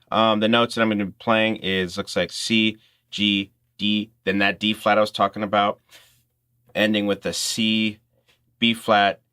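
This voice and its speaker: A man's voice: on the low side, sharp tone. A man hosting an informal music tutorial, explaining things step by step in instructional talk.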